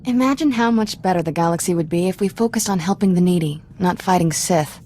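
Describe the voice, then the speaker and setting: A woman's voice: fairly low pitch, a little fast, warm tone, slight background noise, lightly acted. A woman playing a brash, confident young Jedi companion in a video game, blunt, informal and self-assured. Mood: brash conviction. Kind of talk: video game character acting.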